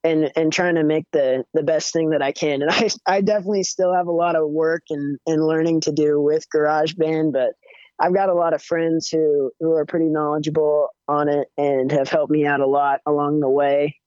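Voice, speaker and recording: A young man with a higher-pitched voice, chatting casually as a musician guest with lots of fillers and restarts. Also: moderate pace, clean tone, no room sound. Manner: relaxed, rambling, many fillers and restarts. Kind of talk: casual interview chat. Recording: dull, muffled audio.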